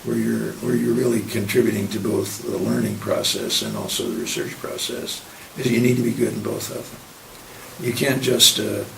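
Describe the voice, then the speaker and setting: Older man, creaky voice, roomy distant recording. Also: on the low side, steady tone. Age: 70s or older. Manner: halting, circling back mid-sentence. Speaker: university professor of finance. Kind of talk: reflective interview answers. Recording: roomy, distant mic, clear background noise.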